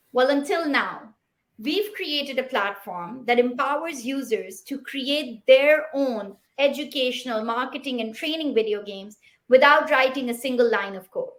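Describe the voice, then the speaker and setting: A woman in her thirties from Pakistan, Pashto her first language, delivering an upbeat, rehearsed startup pitch with rhetorical questions. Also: a bit bright.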